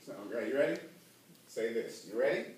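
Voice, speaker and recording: A man's voice: mid-range voice, fairly quick. A middle-aged American man giving a playful, theatrical presentation to children in a classroom. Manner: animated, theatrical. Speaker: presenter at a school event. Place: classroom, indoors, full of children. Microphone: distant camera. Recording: very echoey, noisy classroom, mic far away.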